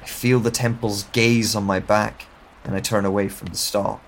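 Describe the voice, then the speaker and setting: A man narrating a first-person audio drama in a slow, hushed, introspective way, over a music score and the sound of rain. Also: lowish voice, level tone, slight background noise.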